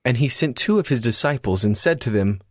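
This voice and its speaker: A male narrator reading scripture aloud in a steady, expressive way. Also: medium pitch, brisk, no echo, studio quality. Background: music score.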